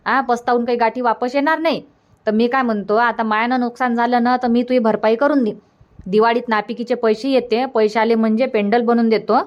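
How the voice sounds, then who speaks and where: A somewhat high old village mother from a cartoon, acted by a woman, recorded in dull lo-fi. She talks plainly and placatingly, resigned. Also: fairly even intonation, a little fast, lightly acted. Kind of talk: Marathi cartoon comedy dubbing.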